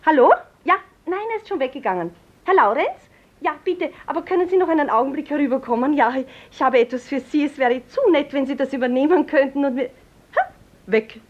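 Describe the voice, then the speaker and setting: Actress as high-pitched flustered secretary, talking fast. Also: female voice, muffled recording, close to an everyday voice. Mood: eager, obliging. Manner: rambling, self-correcting, deferential. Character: flustered, eager, chatty female office secretary. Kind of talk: 1950s German radio drama acting.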